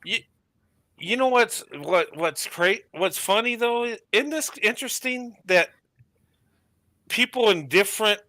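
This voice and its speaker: A man hosting a casual chat, animated and stammering as he starts his sentences. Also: sits high, unhurried, no echo.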